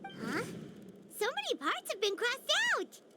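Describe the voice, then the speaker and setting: A woman voicing a tiny, curious and excitable female companion who asks questions in game dialogue. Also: extremely high pitch, background noise, exaggerated voice. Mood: wary. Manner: chattering, quick questions.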